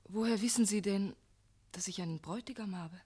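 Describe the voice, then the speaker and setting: An actress in a radio play playing a gentle young maiden, soft and sadly calm, resigned to her fate. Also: mid-range voice, a little fast, lightly acted. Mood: resigned sadness. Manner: soft, breathy, sad calm. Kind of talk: German radio play acting.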